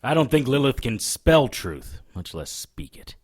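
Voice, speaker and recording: Man acting, hardboiled detective, mid-pitched, sardonic, wisecracking, defiant, audio drama. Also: very animated, a little slow, husky.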